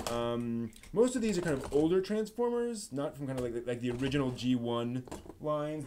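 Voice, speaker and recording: A man's voice: medium pitch, darkish tone. A man hosting a casual live show-and-tell stream, rambling and thinking aloud as he handles things. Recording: noisy live stream audio.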